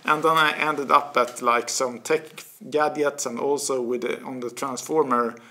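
A man's voice: medium pitch, normal speed, slight background noise. A man in his forties with a Swedish accent, a guest talking animatedly and restarting words as he thinks, recorded on a desk mic in a small office studio. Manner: animated, gestures a lot, repeats words while searching. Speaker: guest, author. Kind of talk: casual interview talk.

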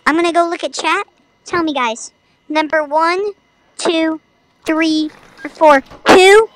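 High-pitched playful gaming streamer woman. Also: steady tone, slow pace, a bit bright, rough recording. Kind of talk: casual livestream gameplay commentary.

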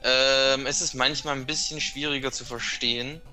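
A man with a bright, forceful voice joins a let's play as a guest, explaining game tricks at length. He often stops and restarts his sentences as he thinks, speaking in a plain conversational way. Faint game music runs underneath. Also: mid-range voice, fairly even intonation, moderate pace. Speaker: guest co-commentator. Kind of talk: casual gaming chat.

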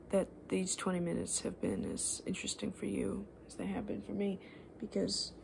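A woman in her twenties doing soft-spoken, rambling ASMR, unscripted and self-deprecating, sometimes slipping towards a whisper. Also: mid-range voice, gravelly. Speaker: ASMR video creator. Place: small living room at home, indoors. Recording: faint room noise on home recording.

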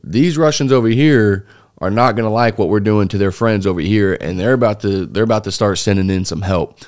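A man's voice: fairly low pitch, fairly quick, darkish tone, no echo. A man, a guest on a podcast telling a long story in a loose, rambling way with many fillers and restarts. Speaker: interview guest, former foreign volunteer fighter. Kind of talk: casual interview storytelling. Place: small dark podcast studio. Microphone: studio mic on boom arm.

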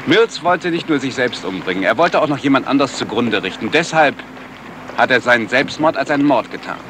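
A man's voice: mid-range voice, expressive, a little fast, close to an everyday voice. Man acting a cocky police sergeant in an old radio crime play, lecturing, swoopy delivery, bit of noise and outdoor boat sound. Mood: confident. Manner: emphatic, lecturing. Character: self-assured, pedantic police detective sergeant. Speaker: actor.

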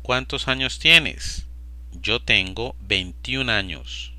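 A man slowly and deliberately repeating short phrases for language learners. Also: mid-range voice, pushed and bright. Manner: slow, deliberate, evenly paced. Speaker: Spanish teacher. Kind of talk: slow repetition drill for learners.

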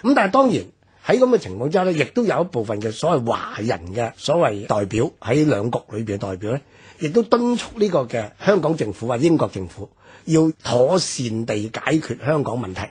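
A man with a Hong Kong Cantonese accent talks through history on a radio program. His voice is very raspy and strained, yet his pitch rises and falls in wide swings as he explains. He pauses often on fillers while he builds his points. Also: sing-song, a little mellow. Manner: measured, explanatory, many fillers. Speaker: history commentator. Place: radio studio.

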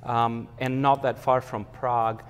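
Slovak-accented man, halting, in a hall. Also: medium pitch, level tone, smooth. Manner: thoughtful, many hesitations and fillers. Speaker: conductor, interview guest. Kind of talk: conversational interview. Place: empty hall with a stage.

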